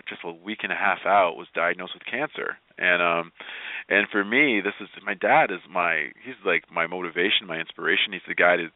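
A man giving motivational advice as a radio interview guest, speaking in an animated, emphatic way with repeated phrases. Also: deep voice, no echo. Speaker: guest, positivity speaker and blogger. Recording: thin phone-like line.

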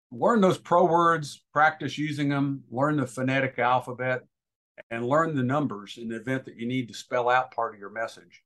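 A man's voice: mid-range voice, fairly bright tone. A man giving informal instruction as a guest expert, talking in an emphatic, advisory way.

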